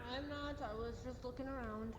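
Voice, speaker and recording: A woman chatting as a player in an online role-play, explaining things in a rambling, halting way. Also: on the high side, fairly even intonation. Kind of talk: casual chat during role-play. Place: online VRChat voice session. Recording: reverberant echoey audio with background noise.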